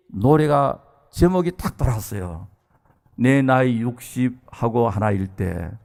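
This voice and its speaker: A man's voice: mid-range voice, a little mellow. A man in his early sixties from South Korea delivering a sermon as a pastor, earnest and emphatic, addressing his listeners directly. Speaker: pastor preaching to a congregation.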